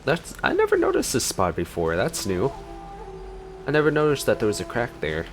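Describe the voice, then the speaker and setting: A man's voice: medium pitch, huge pitch swings, normal speed, soft background music. A man giving casual gameplay reaction commentary, musing and thinking aloud as he plays. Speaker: gameplay streamer.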